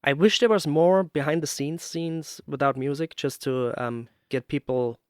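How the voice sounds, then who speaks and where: A man's voice: fairly high pitch, moderate pace, clean tone, no echo, studio quality. A man hosting a podcast interview in a casual, rambling and hesitant but enthusiastic way.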